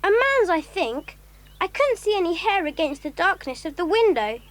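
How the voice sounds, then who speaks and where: A woman's voice: very high voice, very animated, normal speed, a bit bright, exaggerated voice. A woman playing a plucky, earnest young schoolboy adventurer in a children's audio drama. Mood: earnest, puzzled.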